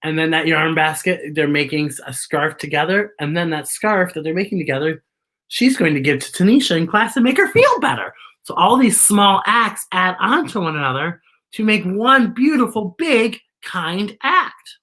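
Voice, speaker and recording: A woman's voice: on the low side, expressive, normal speed, a bit bright. A woman hosting a children's storytime, reading a picture book aloud with chatty asides and explaining things expressively as if to young children.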